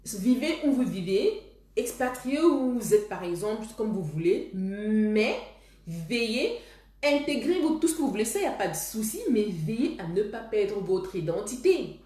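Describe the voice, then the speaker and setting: A woman's voice: medium pitch, very animated, moderate pace, dark tone. Woman host, earnest, self-correcting, echoey room. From Cameroon. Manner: earnest, repeats herself and restarts phrases. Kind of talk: casual advice talk to viewers.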